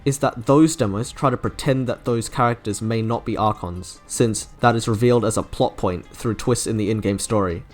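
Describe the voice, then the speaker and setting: A man narrating a scripted, opinionated video review with enthusiasm. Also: medium pitch. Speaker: video creator reviewing game character trailers. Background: music.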